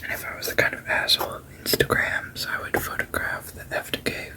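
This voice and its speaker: A person reading fiction aloud entirely in a soft, unvoiced whisper, at a steady, low-key pace. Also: brisk.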